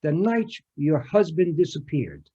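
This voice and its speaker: A man reading the part of a patient police detective in a comic scene, speaking haltingly with many hesitations. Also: a little high, very animated, warm tone, breathy edge, lo-fi sound, exaggerated voice. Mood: probing. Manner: halting, full of "uh" fillers. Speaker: reader in an amateur readers theater performance. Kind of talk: comic readers theater scene read over a video call. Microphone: home video call mic.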